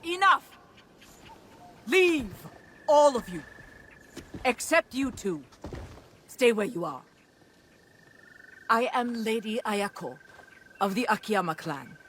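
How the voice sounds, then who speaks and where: An actress playing a commanding samurai clan noblewoman in a video game, speaking in an imperious, measured, formal way. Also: a little high, big pitch swings, fairly bright tone, a little hiss or hum, full character voice. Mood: commanding, angry, then stern, composed. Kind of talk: video game cutscene acting.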